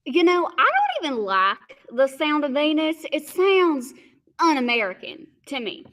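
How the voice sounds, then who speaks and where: A woman's voice: high-pitched, very animated, a bit bright, heavily acted. A woman doing a drawling country farmer bumpkin. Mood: stubborn. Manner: drawling, folksy country talk, slow and halting. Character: slow-talking male farmer bumpkin, rural, boastful. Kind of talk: stage radio play acting.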